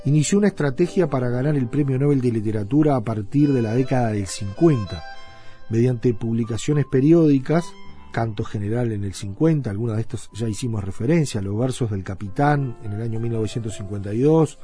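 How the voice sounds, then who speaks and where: A man presenting a radio documentary, reading scripted narration in a formal, measured way. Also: medium pitch, warm tone. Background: music.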